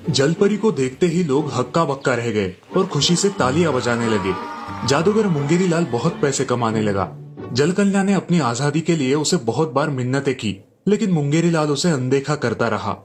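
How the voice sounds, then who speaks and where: A man's voice: medium pitch, animated, normal speed, music in the background. A man narrating a scripted moral story as a storyteller.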